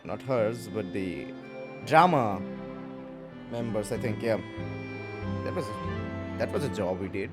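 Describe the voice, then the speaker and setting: A man's voice: lowish voice, lively intonation, slow pace, pauses often. A man giving casual let's-play commentary, informal and exclamatory, often repeating himself. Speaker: gamer commenting on his playthrough. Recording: game music behind the voice.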